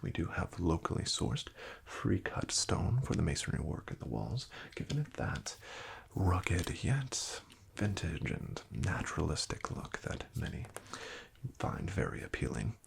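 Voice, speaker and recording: A man speaking in a breathy, often whispered ASMR style, playing a friendly, unhurried real estate agent. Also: on the low side, slight background noise. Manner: friendly, unhurried, gently attentive salesman. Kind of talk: soft-spoken, whispered ASMR roleplay.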